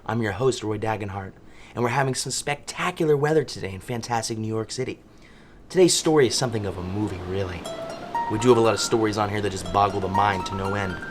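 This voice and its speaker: An actor playing a chatty, wry true-crime podcast host, telling a story in a theatrical, folksy way. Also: male voice, lowish voice, fast, faint music behind, close to an everyday voice. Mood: wry, dramatic.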